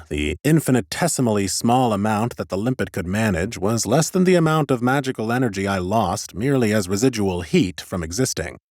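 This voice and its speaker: A man's voice: lowish voice, darkish tone, no echo, studio quality. A man playing an ancient, scholarly lich who muses in a precise, pompous and clinically curious way, as in a first-person fantasy audiobook.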